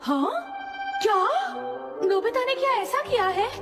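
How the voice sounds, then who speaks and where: A woman playing a strict, quick-tempered mother of a schoolboy in a Hindi cartoon dub. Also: very high-pitched, big pitch swings, big-room sound, loud music behind the voice, exaggerated voice. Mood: indignant, stern.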